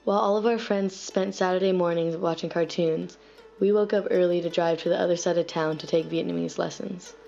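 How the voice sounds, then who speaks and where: A young woman with an American accent reading a scripted, reflective personal narrative in an earnest, measured way. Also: lowish voice, steady tone, vocal fry, warm tone. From United States. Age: young adult. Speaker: student narrator of a personal essay. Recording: dull lo-fi voice-over recording. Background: music playing faintly behind the voice.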